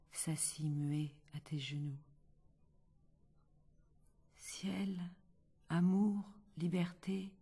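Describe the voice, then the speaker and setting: A woman reciting a poem in a hushed, half-whispered delivery. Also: deep voice, creaky, breathy edge.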